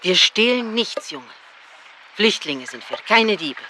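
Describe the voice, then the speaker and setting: Proud farm refugee, mocking, swooping intonation, sharp tone, radio drama, faint background ambience. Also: female voice, mid-range voice, sing-song, slow, a little hiss or hum, exaggerated voice. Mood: proud, indignant. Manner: mocking, questioning.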